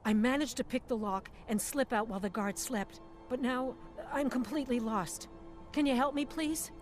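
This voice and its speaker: A woman's voice: fairly high pitch, normal speed, lightly acted. A woman voicing a frightened, lost woman who escaped from bandits, pleading with breathless relief in a video game. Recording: game audio with loud music.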